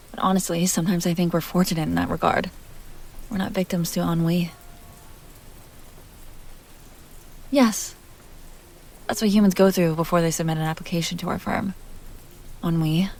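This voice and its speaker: A woman's voice: lowish voice, a little mellow. A woman playing a self-assured, playful vampire heiress in an intimate roleplay audio drama, talking directly to the listener. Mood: pensive.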